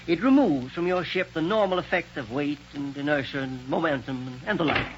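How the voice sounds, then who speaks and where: A genial, fatherly scientist proud of his inventions, played by a man. His voice sits slightly high for a man, and he tends to chuckle as he talks. The sound is dull and faintly hissy, like an old 1930s radio transcription. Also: fairly quick, lightly acted. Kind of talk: 1930s radio drama acting.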